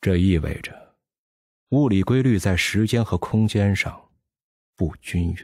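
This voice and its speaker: A man's voice: low voice, a little slow, dark tone, no echo, studio quality. An actor playing a bitter, brilliant, hard-drinking physicist in an audio drama, sardonic and theatrical as he lectures. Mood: grave, explaining.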